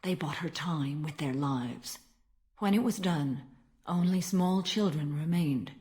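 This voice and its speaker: An actress playing a stern, ancient alien warrior-monk in a video game, speaking in a formal, measured and solemn manner. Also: female voice, low voice, dark tone. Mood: solemn recollection. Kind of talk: dramatic video game dialogue.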